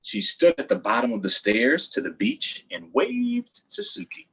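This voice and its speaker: A man's voice: mid-range voice, wildly swooping pitch, a little slow, creaky, a bit bright, husky, poor audio. A man hosting a children's story time, reading aloud in a playful, sing-song way with chatty asides to viewers.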